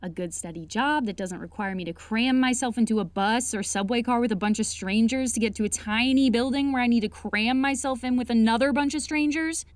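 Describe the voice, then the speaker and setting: A woman's voice: a little high, a little fast, few pauses, dry recording, natural voice. A woman acting a homebound young woman in an audio comedy, defensive and jokey, protesting with sarcastic drama.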